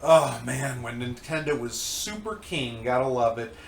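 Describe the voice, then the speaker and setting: A man's voice: medium pitch, measured pace, creaky, roomy sound, a little hiss or hum. A congested man rambling casually about old games, with many fillers and restarts. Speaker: co-host reviewing old magazines. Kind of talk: casual chat.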